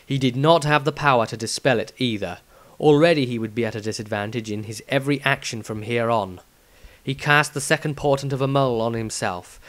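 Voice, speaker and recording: A man reading a scripted fantasy story aloud as narrator, in a dramatic storytelling manner. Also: medium pitch. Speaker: author reading own story.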